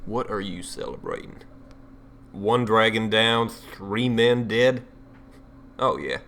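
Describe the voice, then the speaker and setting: A gruff, commanding dragon slayer acted by a man with a tinge, speaking in a lowish, hard-edged voice. He is blunt and sardonic, in an amateur audio drama with faint noise behind him. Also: takes it slowly, fairly bright tone, heavily acted. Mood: scornful, bitter.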